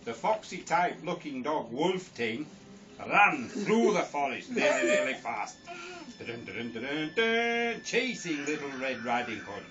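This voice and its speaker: A giggly man rambles through a silly bedtime story in a high, lively voice, taking his time. He sounds far from the mic on dull audio with a faint hiss behind. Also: unhurried, crowd noise. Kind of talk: improvised comic storytelling. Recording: distant lo-fi home recording with hiss.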